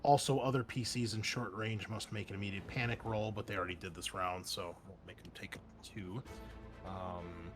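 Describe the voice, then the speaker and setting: A man's voice: lowish voice, fairly even intonation, normal speed. Casual game master, a man, over music. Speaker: game master and show host. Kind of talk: narrating a tabletop roleplaying game. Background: music behind the voice.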